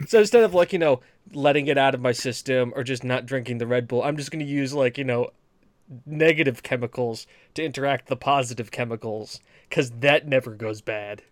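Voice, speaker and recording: A man from New England rambling through a casual gaming podcast, given to long, jokey rants. His voice sits at a middle pitch. It is recorded close and dry. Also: brisk, dry recording. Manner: rambling, rant-prone, joking. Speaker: podcast co-host. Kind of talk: casual chat.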